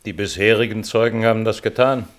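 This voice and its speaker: A man's voice: fairly low pitch, fairly even intonation, a little fast, natural voice. An actor playing a courteous, businesslike chairman who runs a hearing in a radio play. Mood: official. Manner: official, orderly, courteous. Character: formal, businesslike male chairman of a security hearing.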